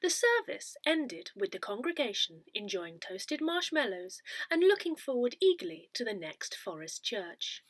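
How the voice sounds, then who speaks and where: A woman reading a newsletter aloud in a measured, careful way with expressive emphasis. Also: medium pitch, no room sound. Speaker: volunteer reader of an audio parish newsletter. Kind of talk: read-aloud newsletter narration.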